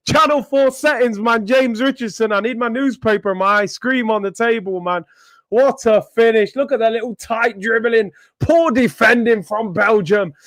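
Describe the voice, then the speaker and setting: A young man hosting a live football watchalong, chatting in slang and breaking into excited shouts at big moments. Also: very high-pitched, normal speed, no room sound. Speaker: host of a football watchalong stream.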